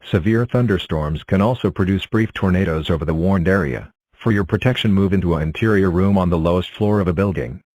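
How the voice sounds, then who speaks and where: A man with a low-pitched voice serves as an emergency alert announcer. He reads scripted severe weather bulletins in a formal, measured and impersonal way. The sound is dry, with no room echo. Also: a little mellow.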